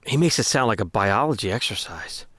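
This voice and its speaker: A man's voice: on the low side, brisk, background noise, lightly acted. A man playing a worried, protective young man in a naturalistic audio drama, speaking with concern. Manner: expressive, concerned.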